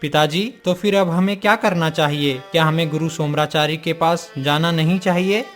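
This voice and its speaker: A humble young prince acted by a man in a cartoon tale, dutiful and respectful. His voice sits fairly high, formal and earnest, over soft music. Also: fairly even intonation, moderate pace, natural voice. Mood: questioning. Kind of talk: Hindi cartoon moral-story acting.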